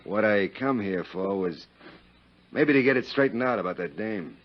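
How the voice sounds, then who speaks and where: An actor in a 1950s radio drama playing a crude, boastful, jealous Chicago businessman, rambling and overfamiliar in folksy roughneck diction. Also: fairly low pitch, expressive, moderate pace, lightly acted. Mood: pleading, aggrieved. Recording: dull vintage 1950s radio recording.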